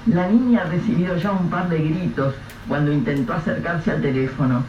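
A man narrating a radio drama in a measured, grave storytelling voice. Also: on the high side, a little mellow. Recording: echoey, distant, dull lo-fi with faint hiss.

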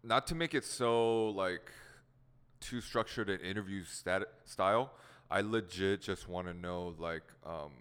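A man's voice: low voice, measured pace, fairly bright tone, smooth, in a large hall. A young man from the Seattle area whose first language was Korean, hosting a casual podcast chat with a laid-back, rambling manner and frequent laughs. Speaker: podcast host.

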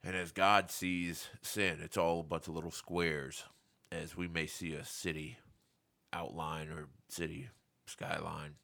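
A man with a deep voice that still carries a bright, forceful edge. He talks in an earnest, preaching tone, like a co-host sharing a testimony on a casual faith podcast. His delivery stays heartfelt and direct. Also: flat delivery, unhurried, creaky.

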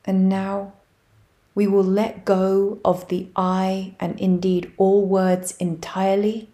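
A woman guiding a yoga nidra meditation, speaking softly and evenly with long pauses. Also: mid-range voice. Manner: slow, soft and even, with long pauses. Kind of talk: guided meditation.

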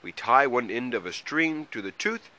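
Man doing an earnest, high-pitched storybook mole. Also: lively intonation, moderate pace, sharp tone. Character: practical, easily exasperated, kind-hearted male mole.

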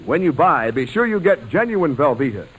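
A male American announcer in classic 1940s radio style. His fairly high voice is very animated and a little husky. He reads scripted copy with polished persuasion. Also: normal speed, low-quality recording.

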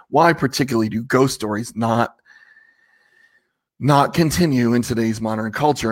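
A man with a slightly raspy voice hosting a casual livestream, rambling conversationally and asking viewers questions. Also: medium pitch. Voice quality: a little raspy. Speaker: livestream host.

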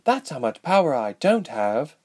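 A man's voice: medium pitch, sing-song, normal speed, a little mellow, pitched above the performer's own voice. A man voicing a young, petite princess in an audiobook story, impatient and earnest, with an emphatic delivery. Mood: exasperated. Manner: exasperated, emphatic.

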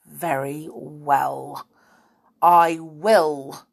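A woman's voice: low-pitched, steady tone, drawn-out words, vocal fry, heavily acted. A woman voicing a bold, boastful male pixie in a children's storybook, scolding indignantly and emphatically. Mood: defiant.

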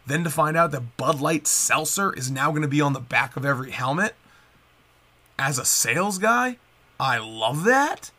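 Man, 30s, upbeat podcast host, congested and nasal, brisk bright tone. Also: on the high side. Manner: upbeat, chatty, rambling with restarts. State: stuffed-up nasal voice from a cold. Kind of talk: casual solo podcast chat.

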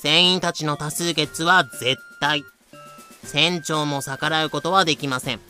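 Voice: medium pitch, steady tone, measured pace, bright, forceful tone, faint music behind. A narrator giving polite, scripted explanatory asides in an audio drama.

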